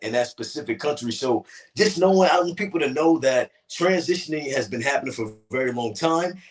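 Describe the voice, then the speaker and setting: Earnest man, fairly high for a man, a bit off-mic; conversational interview guest. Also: distant mic. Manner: earnest, repeats words at starts, says "you know what I'm saying". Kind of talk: casual interview talk and poetry reading.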